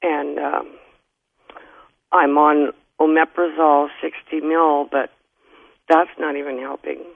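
A hoarse woman calling in to a radio show, talking in a slow, halting and strained way. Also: sits low, flat delivery, dry recording. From North Dakota. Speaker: caller. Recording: phone line.

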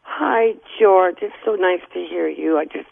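A hoarse woman calling in to a radio show, talking in a slow, halting and strained way. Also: fairly low pitch, no echo. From North Dakota. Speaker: caller. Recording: phone line.

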